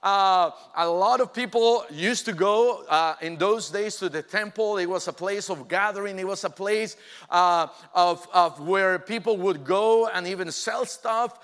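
A man in his forties delivers a sermon in a high, bright voice. He speaks slowly and earnestly, with many "uh" fillers. His voice rings with the long reverb of a big church hall. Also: smooth. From Mexico. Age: 40s. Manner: earnest, hesitant with frequent "uh" fillers. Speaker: pastor. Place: church sanctuary.

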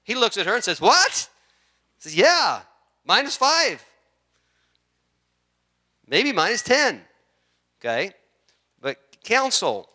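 Bright-voiced pastor in his fifties preaching. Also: high-pitched. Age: 50s. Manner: repeats key words for emphasis, builds to fervent preaching. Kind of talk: sermon. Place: church sanctuary.

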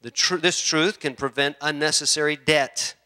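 A man's voice: fairly high pitch, steady tone, normal speed, sharp tone. A man in his fifties preaching a sermon in church, repeating key words for emphasis and building to a fervent delivery. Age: 50s. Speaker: pastor. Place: church sanctuary.

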